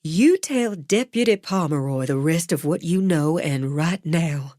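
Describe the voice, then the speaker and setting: A woman's voice: low-pitched, animated, mellow, no echo, studio quality. Woman doing a stern old grandmother. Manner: firm, clipped, slow and commanding. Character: stern elderly grandmother, commanding matriarch.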